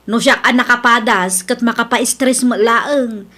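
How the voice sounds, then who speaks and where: A woman's voice: fairly high pitch, fairly even intonation, measured pace, sharp tone. An actress playing a chatty, reassuring young female friend in a radio drama. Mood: supportive.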